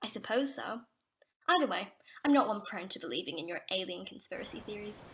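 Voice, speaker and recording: An actress playing a sceptical, haughty general in an audio drama, wry and authoritative. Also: female voice, mid-range voice, expressive, brisk, a bit bright, a little hiss or hum.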